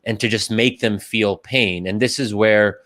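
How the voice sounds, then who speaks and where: A man from the United States giving careful, measured answers as a podcast guest, pausing often on ums and restarts. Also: lowish voice, fairly even intonation, fairly bright tone.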